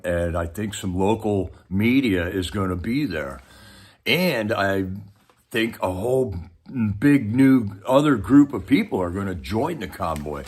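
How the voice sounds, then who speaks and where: Low-voiced man chatting on a livestream. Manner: rambling, folksy, addresses viewers directly. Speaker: livestream host. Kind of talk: casual livestream chat and commentary.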